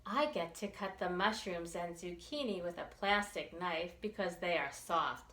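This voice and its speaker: A woman reading a picture book aloud for young children, expressively and with deliberate enunciation. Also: fairly low pitch, fairly bright tone, roomy sound. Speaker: children's librarian storytime reader.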